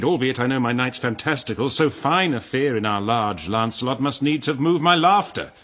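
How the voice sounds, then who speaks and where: An actor playing a grave, trusting king in a verse drama, stately and theatrical in delivery. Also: mid-range voice. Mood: hurt reproach. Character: noble king, grave, trusting, wounded by deception.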